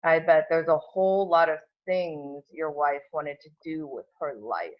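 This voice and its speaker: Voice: medium pitch, unhurried, highs cut off, lightly acted. An actor playing a cold, controlling old father weakened by a stroke, in an amateur table read. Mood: cutting. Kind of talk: amateur at-home table read of a film script.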